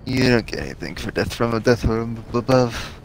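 A man's voice: medium pitch, expressive, moderate pace, gravelly, a little hiss or hum. A man streaming a game, chatting casually with his friends as he plays. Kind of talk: casual gaming chat with friends. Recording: game music behind the voice. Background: video game music.

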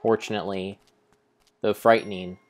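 A young man narrating gameplay as a solemn, deliberate, theatrical story. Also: lowish voice, flat delivery. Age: young adult. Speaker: game streamer. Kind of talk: dramatic storybook-style narration over gameplay.

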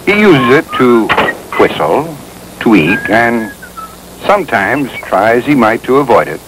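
An American man reading scripted narration for a 1960s training film in a wry, measured manner. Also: medium pitch, sing-song, background noise. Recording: old film soundtrack, music behind.

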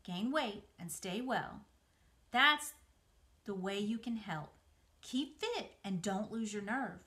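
A woman's voice: medium pitch, animated, takes it slowly, pushed and bright. A woman voicing a wise female spider from a storybook, comforting and motherly, giving firm, reassuring instruction.

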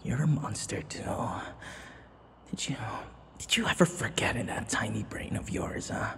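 A man playing an obsessive, menacing, grieving vampire in an ASMR roleplay, breathy and halting, repeating his words. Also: fairly low pitch, lively intonation, fairly bright tone, big-room sound. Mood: bitter, menacing. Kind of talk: ASMR roleplay audio drama.

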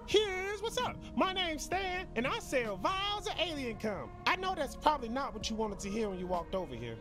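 A man's voice: very high-pitched, sing-song, normal speed, over music. A man playing a sleazy, chatty alien street peddler in a comedy video game, rambling through brash, shameless sales patter. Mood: frank, rambling. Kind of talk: improvised-sounding comedic video game dialogue.

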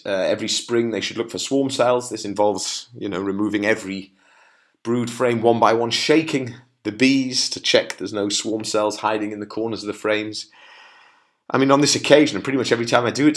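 A middle-aged man from the UK presents his product over slides. His voice sits fairly low. He talks informally, like a practitioner explaining his own work, understated and lightly wry. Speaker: beekeeper and company founder presenting.

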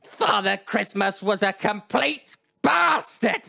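A man voicing a villainous male elf leader in a live radio play, theatrical and given to shouted curses. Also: high voice, lively intonation, slow, vocal fry, exaggerated voice. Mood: ranting. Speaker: voice actor in a charity-stream radio play.

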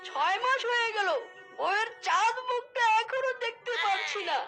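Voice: very high-pitched, animated, normal speed, music in the background. A performer voicing a whiny, melodramatic young male fox in a cartoon, wailing and lamenting theatrically. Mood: tearful complaint. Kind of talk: cartoon voice acting.

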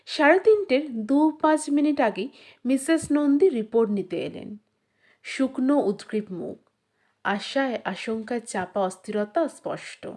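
A woman narrating a literary short story, reading from a script in a measured, expressive storytelling manner. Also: high-pitched.

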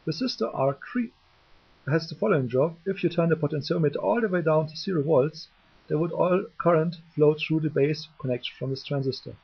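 A man gives a methodical technical explanation, halting slightly as he goes. His voice sits a little high for a man and is gravelly. The recording is dull and lo-fi, as from a cheap microphone. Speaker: electronics hobbyist presenter. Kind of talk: explanatory tutorial narration. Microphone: cheap DIY microphone, voice-over.

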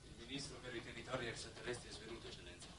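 An actor playing a sober, matter-of-fact official aide in a 1960s Italian television drama, with a clipped, formal manner. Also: male voice, medium pitch, flat delivery, very fast, pushed and bright, lightly acted. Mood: grave.

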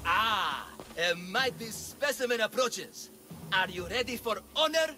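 An actor playing a grandiose male barker who announces challengers with drawn-out theatrical flair, in a video game. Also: high voice, animated, sharp tone, full character voice. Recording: game music underneath.

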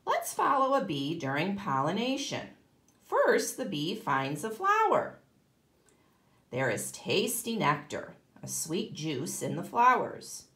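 A woman's voice: on the low side, wildly swooping pitch, takes it slowly, a bit bright, reverberant. A woman teaching kindergarten, reading a children's book aloud expressively and explaining it to young listeners. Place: indoor room, at a table.

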